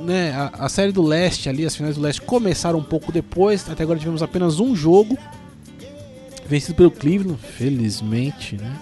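A man's voice: high-pitched, warm tone. A man with a São Paulo Brazilian accent and a cold, hosting a casual sports podcast in a rambling, self-deprecating, slangy way. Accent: São Paulo Brazilian Portuguese. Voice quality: congested from a cold. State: has the flu. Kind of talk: casual sports news chat.

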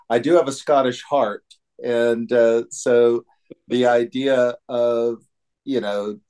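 An American man co-hosting a religious podcast, teaching in a deliberate, halting way. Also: medium pitch, darkish tone.

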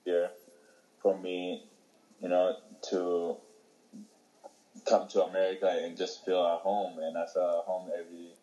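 Young man from Ethiopia, low and mellow voice, halting answers in a casual interview. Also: completely flat delivery, dull audio. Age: young adult. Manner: halting, many fillers and restarts. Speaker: interviewee, young soccer player.

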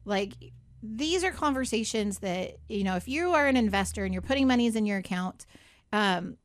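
A woman's voice: medium pitch, no echo. A woman hosting a radio show in a studio, talking in a chatty, upbeat way with many asides. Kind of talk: casual talk radio chat.

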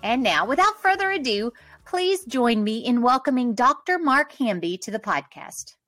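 A woman's voice: fairly high pitch, animated, normal speed, a bit bright, faint music behind. A woman speaking as a podcast host, introducing the show and interviewing a guest.

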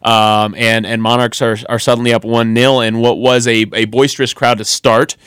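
A man with a smooth, resonant voice co-hosts a casual sports chat from a radio studio. He sits mid-range in pitch and meanders, filling gaps with ums and restarting his sentences. The sound is dry and close. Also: flat delivery, normal speed, a bit bright, no echo. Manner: rambling with frequent ums and restarts. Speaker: podcast co-host.

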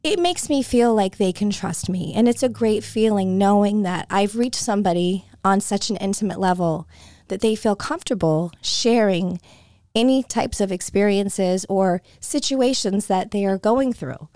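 A woman hosting a solo podcast in a chatty, conversational way, her voice hoarse and coming and going. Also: medium pitch, moderate pace, mellow, no room sound, studio quality. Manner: chatty and conversational, with frequent restarts. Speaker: podcast host. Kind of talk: casual solo podcast talk.

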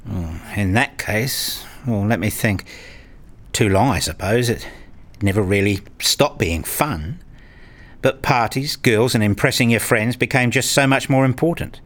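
A man's voice: fairly low pitch, a little slow, a bit bright. A man playing an earnest married father and hobbyist, narrating and acting his scripted story in a radio play. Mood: reflective. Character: eager married father and hobbyist, a little rueful. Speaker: narrator and main character.

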